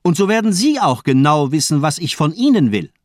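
A cold, superior villain doctor of radio crime drama. He speaks with calm, mocking politeness and very mobile intonation in a dry studio sound. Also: on the high side, a little fast, no room sound.

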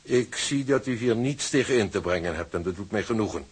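An actor in a radio drama playing a cold, condescending shipping official who speaks in a formal, officious, mock-polite way. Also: male voice, lowish voice, expressive, a little fast, slightly rough. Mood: smug. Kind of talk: Dutch radio drama acting.

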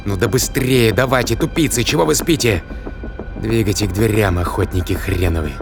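A man playing a sardonic, impatient spaceship captain, gruff and muttering, in a sci-fi audio drama. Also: fairly low pitch, a little fast, close to an everyday voice. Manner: muttering to himself, sarcastic, gruff commands. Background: dramatic music and effects.

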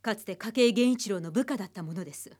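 An actress playing a stern, composed psychiatrist, speaking formally and bluntly in measured phrases in an anime radio drama. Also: female voice, medium pitch, expressive, darkish tone, lightly acted. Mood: grave, firm.

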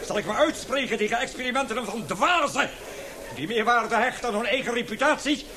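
An actor playing an older, indignant scientist professor in a radio play, vehement and lecturing, halting at times. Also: male voice, very high voice, lively intonation, background noise. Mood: indignant, defiant. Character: indignant, passionate scientist professor with a weak heart. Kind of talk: radio drama acting.

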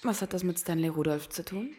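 An actress in a German radio crime drama playing a guarded, worldly call girl with a cool, sharp tongue. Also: on the low side, lively intonation, a little fast, close to an everyday voice. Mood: wary, defiant.

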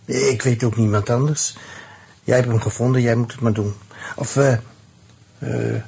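An actor playing a troubled film boss in a radio play, bossy yet pleading, with a halting, insistent manner. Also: male voice, medium pitch, fairly even intonation, gravelly, darkish tone, husky, full character voice. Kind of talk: Dutch radio drama acting.

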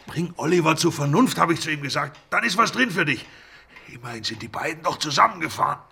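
An actor playing a menacing, scheming crook in a radio crime drama, with a raspy, aggressive delivery. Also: male voice, on the high side, expressive, fast, pushed and bright, exaggerated voice. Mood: scheming, self-justifying. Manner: aggressive, self-justifying. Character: menacing scheming male crook, blustering about a botched deal.

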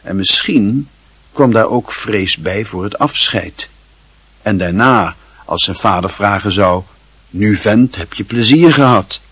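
A man's voice: lowish voice, expressive, slow, fairly bright tone, breathy edge, no echo. A man reading scripted literary narration as the narrator of a radio drama.

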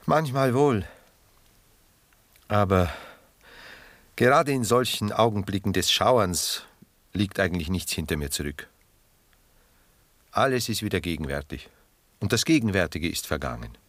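Low-voiced, musing melancholy lover. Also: male voice, expressive, fairly bright tone, no echo. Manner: measured, literary, musing delivery. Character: reflective older male lover, tender, melancholy, philosophizing. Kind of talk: 1960s German radio drama acting.

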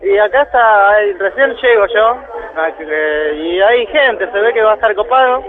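A high-pitched man with a Buenos Aires accent reports informally as a roving radio correspondent. He is on a muffled phone, with clear crowd chatter around him. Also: animated, normal speed. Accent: Buenos Aires Argentine. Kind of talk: casual live report. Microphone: telephone. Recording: dull phone line, crowded venue.

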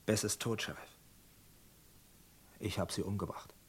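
An actor playing a grim, stubborn rancher and gunslinger in a German radio crime drama, speaking in a terse, heavy, deliberate way. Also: male voice, fairly low pitch, steady tone, husky. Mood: stubborn, stricken.

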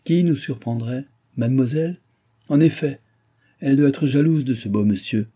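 A man reading aloud and voicing a jealous, sharp-tongued young maid who mocks and scolds. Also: mid-range voice, moderate pace, breathy edge, voice pushed up, natural voice. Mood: mocking. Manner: mocking, scornful.

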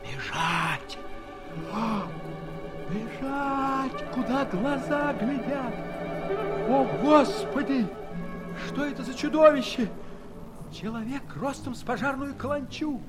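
An actor playing a restless, adventurous ship's doctor and traveler in a classic audio play. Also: male voice, very high voice, slow, pauses often. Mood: panicked. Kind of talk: Soviet audio play acting of a classic tale. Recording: vintage mono record, music behind.

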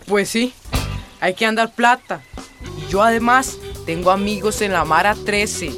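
An actor playing a guarded young loner bluffing toughness in a radio drama. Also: male voice, sits high, a little slow, music in the background.

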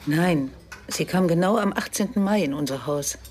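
A woman's voice: fairly low pitch, normal speed, a little mellow, a little hiss or hum, natural voice. A woman playing a worried, cautious Pakistani housekeeper and mother in a radio drama. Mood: matter-of-fact.

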